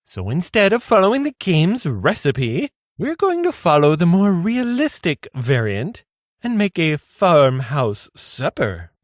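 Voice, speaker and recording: A man's voice: on the high side, wildly swooping pitch, unhurried, no echo, studio quality. A man playing a fussy, prim little gourmet judge in a comedy radio play, precise and theatrical. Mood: instructive.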